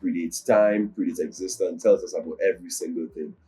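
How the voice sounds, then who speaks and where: Low, mellow young Nigerian man. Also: fairly even intonation, fairly quick. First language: Yoruba. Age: young adult. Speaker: guest musician. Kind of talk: interview answers.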